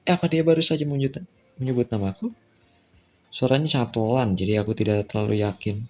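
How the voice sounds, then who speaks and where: A man's voice: medium pitch, quick pace, darkish tone. A man with an Indonesian accent reads a visual novel aloud as a casual playthrough, dropping in offhand asides. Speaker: video game player reading a visual novel aloud. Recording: dull lo-fi mic, music behind.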